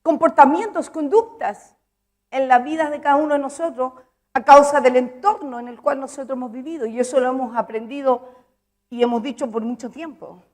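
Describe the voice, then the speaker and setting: Woman preacher with a high voice, emphatic and repetitive, asks the congregation questions. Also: normal speed. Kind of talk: sermon.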